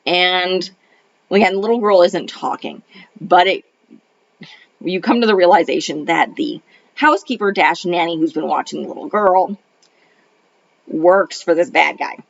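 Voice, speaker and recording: Middle-aged woman with a cold, gravelly. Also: on the low side, measured pace, long pauses, dry recording. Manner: rambling, many ums and restarts. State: recovering from a cold, voice a little off. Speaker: retired librarian turned homeschool mom reviewing films. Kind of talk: casual film review vlog. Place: home in Arizona. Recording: dull lo-fi audio.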